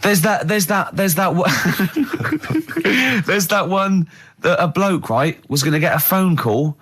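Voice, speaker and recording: A man hosting a radio show with casual comic banter, animated and quick to act out dialogue. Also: sits high, breathy edge. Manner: animated, breaks into laughter, acts out dialogue. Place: radio studio.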